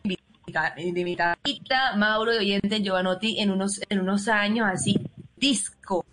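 A woman's voice: mid-range voice, moderate pace, a bit bright, faint music behind. A woman chatting casually and enthusiastically as a radio co-host.

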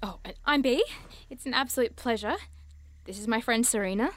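A woman's voice: on the high side, a little fast, background noise, close to an everyday voice. A woman playing an eager, starstruck young monster enthusiast in an audio drama, earnest, polite and gushing. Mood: awed, gushing.

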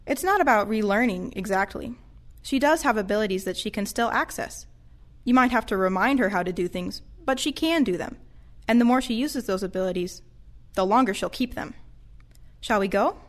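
A woman playing a kind, patient care-home aide in an audio play, gentle and encouraging. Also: medium pitch, lively intonation, moderate pace, natural voice.

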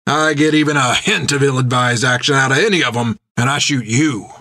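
A man voicing a gruff, foul-mouthed pirate captain who barks orders bluntly in an audiobook adventure. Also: mid-range voice, a little fast, sharp tone. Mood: wary.

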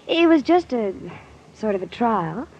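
A woman's voice: a little high, very animated, measured pace. A woman playing a coy, flirtatious and cunning society lady in 1940s radio drama. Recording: dull, hissy vintage radio recording.

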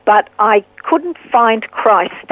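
A woman in her sixties with an Australian accent, a guest telling her story earnestly and unhurriedly, with pauses. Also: fairly high pitch, lively intonation, no room sound. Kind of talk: casual interview storytelling. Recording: tinny phone line.

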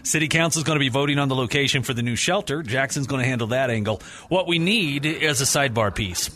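A man's voice: mid-range voice, fast talker, pushed and bright, lightly acted. A man playing a bossy, drily sarcastic newsroom boss in a scripted radio drama. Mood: brisk, bossy. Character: brisk, wry male newsroom boss.

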